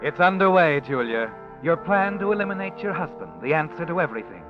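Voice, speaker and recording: A man narrating a radio drama in the second person, measured and ominous. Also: a little high, sing-song, lo-fi sound. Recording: old radio recording with music underneath.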